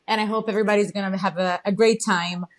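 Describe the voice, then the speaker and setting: A woman's voice: medium pitch, fairly even intonation, fast. A woman from Italy with an Italian accent, a native Italian speaker, talking eagerly as a guest in a casual interview, with many fillers and restarts. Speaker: guest, horse trainer.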